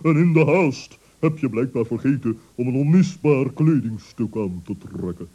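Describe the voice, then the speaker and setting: A man's voice: medium pitch, expressive, normal speed, warm tone, husky, highs cut off. A man voicing a stern, fussy old male owl in an old radio play, pompous and pedantic in his corrections. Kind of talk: 1960s radio play acting.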